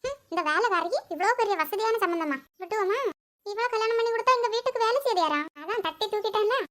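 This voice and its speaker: A woman's voice: very high-pitched, expressive, fast talker, fairly bright tone. A woman playing a domineering, scheming middle-aged stepmother in a family melodrama, with a Kanyakumari Tamil accent. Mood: smug.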